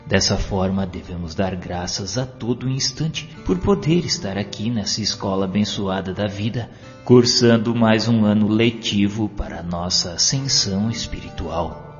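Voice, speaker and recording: A man with a Brazilian accent narrates a scripted inspirational story in a measured, solemn, literary way. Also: fairly low pitch. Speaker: audiobook narrator. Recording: dull lo-fi, long reverb, music behind. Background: music.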